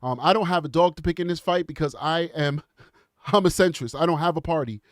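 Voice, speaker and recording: A man's voice: a little high, a little fast, no echo, studio quality. A man with a cold and a congested voice, hosting a casual livestream in a chatty, rambling way and laughing often. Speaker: show host.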